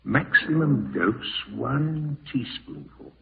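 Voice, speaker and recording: A man's voice: mid-range voice, big pitch swings, slow pace, vocal fry, full character voice. An actor playing a callous, scheming man in a 1940s radio horror drama, muttering to himself and snappish, with melodramatic delivery. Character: scheming, callous male relative plotting murder, panicking and irritable. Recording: scratchy, tinny old-time radio broadcast.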